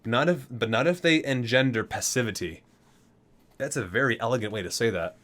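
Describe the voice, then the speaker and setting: A man's voice: medium pitch, a little fast, fairly bright tone. A man reading game item descriptions aloud in an ASMR style, breaking off for casual, geeky asides. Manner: geeky, chatty asides between read-out entries.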